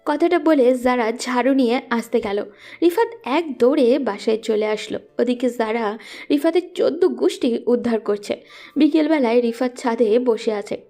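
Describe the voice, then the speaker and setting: A woman's voice: on the high side, normal speed, dark tone, faint music behind. A woman reading scripted narration for a romantic story as its narrator.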